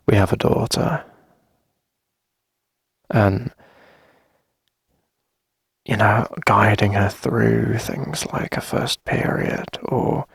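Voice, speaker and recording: Man playing a husky, creaky, tender ASMR boyfriend. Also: no echo, studio quality, exaggerated voice. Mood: fond, earnest. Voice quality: husky, breathy, creaky low murmur. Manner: gentle, coaxing, lingering pauses. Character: tender, reassuring boyfriend longing to start a family. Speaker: ASMR audio roleplay performer. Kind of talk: intimate boyfriend ASMR roleplay, close and soft-spoken. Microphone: close dry microphone.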